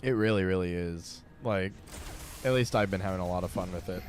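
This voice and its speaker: Laid-back low-voiced man streaming. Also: a little fast, noisy background. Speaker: streamer, host. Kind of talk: casual chat while streaming a game.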